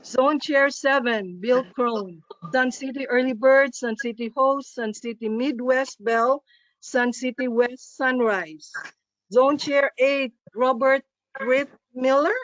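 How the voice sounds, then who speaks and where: A woman with a Filipino accent reading a poem and then a list of names at a club meeting, careful and slow, with small self-corrections. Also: high-pitched, highs cut off. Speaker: club officer presenting at a district meeting.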